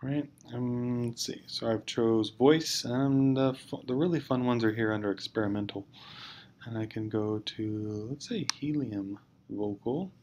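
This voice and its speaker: A man's voice: medium pitch, unhurried. A man narrating a casual instructional screencast, explaining step by step in an informal, hesitant way. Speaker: teacher giving software tutorial. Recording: clear background noise.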